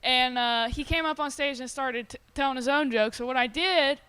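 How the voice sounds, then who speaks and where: A woman in her twenties tells a comedy story with a straight face. Her voice is bright and clear, pitched a little high, and she mocks herself as she goes. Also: normal speed, dry recording. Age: 20s. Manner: deadpan self-mocking anecdote. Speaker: stand-up comedian. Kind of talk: casual panel chat.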